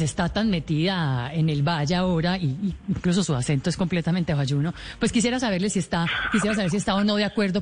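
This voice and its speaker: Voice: medium pitch, a little mellow. A journalist interviewing a guest, who asks long, winding questions.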